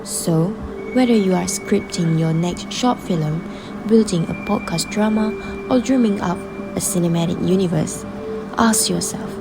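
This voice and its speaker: A woman's voice: on the low side, vocal fry, low-quality recording. A woman presenting a scripted explainer narration in the manner of a video essay.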